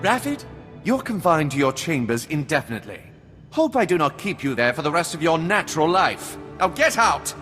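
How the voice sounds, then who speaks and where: A man's voice: fairly high pitch, sing-song, moderate pace, a bit bright. A man playing an imperious, scheming noble baron in a sci-fi game, speaking formally. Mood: indignant. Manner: formal, aristocratic phrasing. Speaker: video game voice actor. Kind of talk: dramatic game cutscene dialogue. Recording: long hall reverb, faint music behind.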